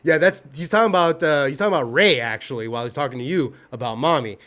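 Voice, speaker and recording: A man's voice: fairly high pitch, fast talker. Profane, fired-up man ribbing a friend. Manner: profane, animated teasing. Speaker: podcast guest. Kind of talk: casual podcast banter.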